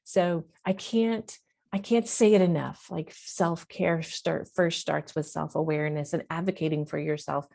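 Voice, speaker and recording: A woman counselor speaks in long, earnest runs, stressing and repeating her key points. Her voice sits a little low and sounds mellow and warm. She talks in an easy interview style with the odd filler. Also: steady tone, a little slow, creaky. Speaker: professional counselor. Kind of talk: conversational podcast interview.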